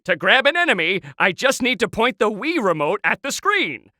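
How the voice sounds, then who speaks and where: A tiny, scheming sea-creature villain from a cartoon game. His voice sits high and has a hard, very sharp edge, recorded close and dry. He delivers everything with pompous, sardonic theatricality, like a would-be world conqueror. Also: very animated, moderate pace, no echo, full character voice. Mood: smug instructing. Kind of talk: animated video game character lines.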